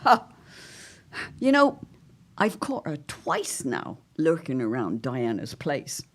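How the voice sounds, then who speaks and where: A woman's voice: low-pitched, huge pitch swings, slow pace, heavily acted. A woman playing a witty, protective middle-aged mother of the 1910s, genteel and wry, in a period audio drama. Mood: indignant, catty. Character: arch, witty, protective society mother in 1917.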